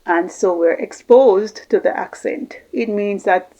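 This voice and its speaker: A woman's voice: medium pitch, a little slow, mellow. A middle-aged woman from Jamaica, talking unscripted as a communication coach and rambling through long lists of examples. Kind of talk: unscripted talk to camera. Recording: slightly dull lo-fi recording.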